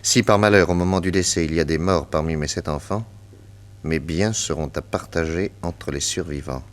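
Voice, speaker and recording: Man playing low-voiced shrewd French detective. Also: natural voice. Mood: reading, businesslike. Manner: brisk, probing, reassuring. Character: shrewd detective Nick posing as policeman, smooth and probing. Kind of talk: 1960s French radio serial acting.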